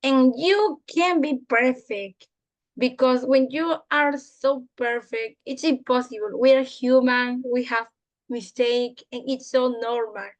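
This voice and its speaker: A woman in her twenties from Peru talks in earnest, encouraging learner English. Her voice sits slightly high, and she speaks openly in a relaxed practice chat. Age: 20s. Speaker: conversation host. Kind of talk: casual practice conversation in English.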